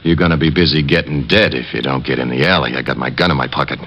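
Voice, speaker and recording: An actor playing a menacing American hired killer in an old radio crime drama, with tough, taunting street talk and a gravelly voice. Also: male voice, a bass voice, quick pace. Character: menacing male hired thug, coolly sadistic killer. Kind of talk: 1940s-50s radio crime drama acting. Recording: muffled old-time radio recording.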